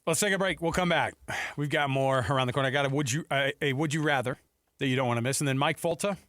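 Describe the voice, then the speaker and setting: A man's voice: medium pitch, fast, fairly bright tone, no echo. An American man hosting sports talk radio in a casual, conversational style on a studio microphone. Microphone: close studio radio microphone.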